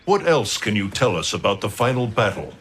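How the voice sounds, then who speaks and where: An actor playing a stern, solemn god in a video game cutscene, speaking in a measured way. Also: male voice, fairly low pitch, lively intonation, a little hiss or hum, close to an everyday voice. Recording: game audio with faint score.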